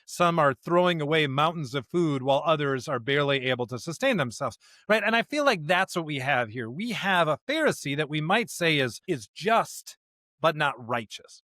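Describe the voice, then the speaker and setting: A man co-hosting a podcast in a conversational discussion of scripture, speaking emphatically in halting bursts and often checking in with a rhetorical question. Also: on the high side, lively intonation, bright, forceful tone, no echo, studio quality. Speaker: podcast co-host.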